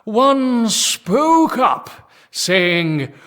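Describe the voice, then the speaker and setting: Slow, pompous, high-voiced priest. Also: male voice, sing-song, fairly bright tone, exaggerated voice. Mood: grandiose. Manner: slow, grandiose, declamatory. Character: pompous elderly priest telling mock-biblical tales. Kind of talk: comic audio drama acting, archaic preaching.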